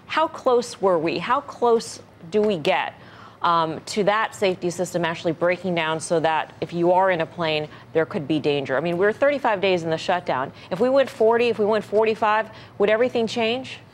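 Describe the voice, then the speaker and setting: A woman's voice: on the low side, moderate pace, slight background noise. A woman interviewing a guest on live TV news with pointed, probing questions.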